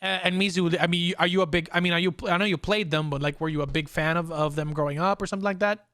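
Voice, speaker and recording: A man's voice: a little high, fairly even intonation, quick pace, fairly bright tone, no room sound, clean studio sound. A young man hosting a casual pop culture discussion, talking enthusiastically in informal, looping, hesitant phrases. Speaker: podcast host.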